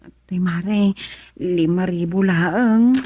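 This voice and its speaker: Poor rural mother, Ilocano accent, radio drama. Husky, apologetic, hesitant, dry studio sound. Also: on the low side, slow, dark tone, dry recording, lo-fi sound. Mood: apologetic, weary. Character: hard-up farmer's wife and mother, apologetic and weary.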